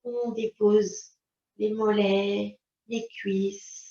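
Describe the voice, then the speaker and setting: Slow-paced Frenchwoman guiding yoga, roomy sound. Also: mid-range voice. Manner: calm, unhurried, instructing in short phrases. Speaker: yoga teacher. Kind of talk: guided yoga and relaxation instruction. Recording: roomy, distant mic, dull sound.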